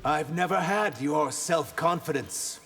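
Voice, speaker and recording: A man voicing a reserved, serious reptilian shapeshifter warrior in a video game, speaking in a measured way. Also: a little high, close to an everyday voice. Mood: earnest, guarded. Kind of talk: video game character banter. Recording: echoing hall, loud background noise.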